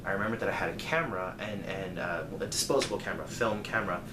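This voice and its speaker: Male casual storyteller, lowish voice, rambling and conversational with dramatic emphasis; echoey, noisy room recording. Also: fast. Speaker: storyteller vlogger. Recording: echoey room, clear background noise.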